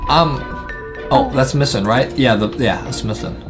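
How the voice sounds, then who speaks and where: A man's voice: mid-range voice, normal speed. A young American man hosting a gaming video with playful, teasing, clowning commentary. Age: young adult. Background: clear music.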